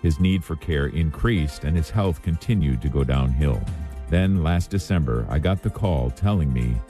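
A middle-aged man hosting a spiritual talk show, his voice hoarse and raspy, telling a personal story in an earnest, reflective way. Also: extremely low pitch, expressive, moderate pace, dark tone, soft background music. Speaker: host.

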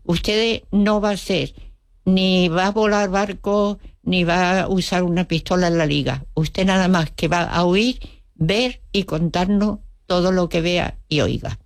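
A woman's voice: fairly low pitch, fairly even intonation, a little slow, no room sound, clean studio sound. An older woman with an Andalusian Spanish accent, Russian by mother tongue, telling her memories as an interview guest with wry humor and acted-out quotes. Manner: vivid storyteller, acts out quotes, wry humor. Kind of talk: storytelling reminiscence.

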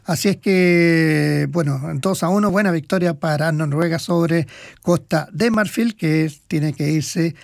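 High-voiced man rambling through sports results. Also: measured pace, creaky, no room sound, studio quality. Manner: rambling, hesitant with fillers. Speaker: sports commentator co-host. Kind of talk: casual radio sports chat.

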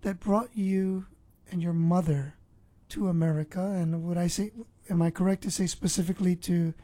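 Mellow-voiced woman host asking questions. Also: lowish voice, fairly even intonation, moderate pace, no room sound. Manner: probing, asks follow-up questions. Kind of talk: interview questions.

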